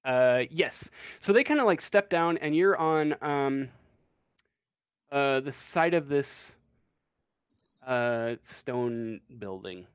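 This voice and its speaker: A man's voice: medium pitch, expressive, slow pace, long pauses, smooth, no echo, studio quality. A man improvising tabletop game narration as game master, hesitant and often searching for words.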